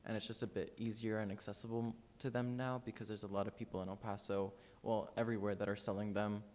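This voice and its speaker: A man's voice: little pitch movement, quick pace, a little mellow. A nineteen-year-old man hosting a student podcast in a loose, friendly way with many fillers. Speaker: podcast host. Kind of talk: casual student podcast chat. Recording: long reverb, faint rumble.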